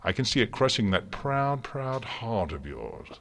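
A mad chaos monster from a storybook, mocking and theatrical, pitch jumps way up and all over. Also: male voice, big pitch swings, unhurried, vocal fry, fairly bright tone, exaggerated voice. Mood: mad malicious glee. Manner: theatrical, taunting, crooning. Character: mad, gleefully malicious male chaos creature, theatrically mocking. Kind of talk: dramatic storybook character reading.

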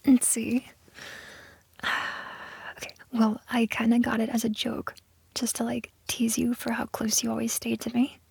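A woman acting a flustered, awkward young lover in an intimate audio roleplay, with a breathy, hushed voice and halting, stammering delivery. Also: on the high side, completely flat delivery, no room sound, exaggerated voice. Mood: exasperated, shy. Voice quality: breathy, whispery.

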